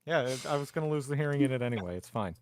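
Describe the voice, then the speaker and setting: A man's voice: medium pitch, expressive, racing, heavy background noise. A man playing a tabletop roleplaying game, chatting casually with the group. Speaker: player.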